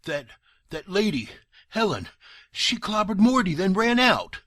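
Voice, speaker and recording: An actor playing a crude, excitable male client of a detective in a noir audio drama. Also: high-pitched, measured pace, no room sound, studio quality, full character voice. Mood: agitated.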